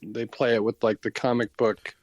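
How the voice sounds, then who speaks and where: Older man, mid-pitched, chatting casually. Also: level tone, moderate pace, a little mellow, no room sound. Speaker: podcast co-host. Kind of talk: casual chat.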